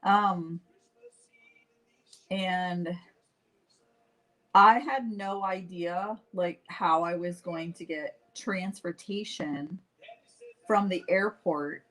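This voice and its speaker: A middle-aged woman with a slightly low voice hosts a casual livestream. She takes her time, talking straight to her viewers in a chatty, informal storytelling style, with animated retellings of conversations. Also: extremely slow, long pauses, poor audio. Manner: chatty, animated retelling with acted-out dialogue and frequent "like"; addresses viewers directly. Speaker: host painting live while telling stories.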